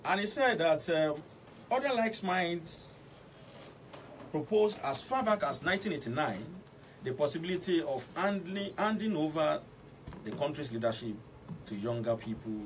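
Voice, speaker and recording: A man's voice: a little high, lively intonation, moderate pace. A man with a Nigerian Yoruba accent hosting a live radio current affairs programme as its presenter. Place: radio studio. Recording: noisy, distant-miked radio studio capture.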